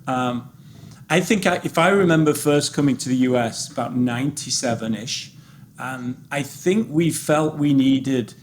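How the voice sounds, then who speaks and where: A man's voice: mid-range voice, slight background noise. Rambling conversational male podcast host. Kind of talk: podcast interview.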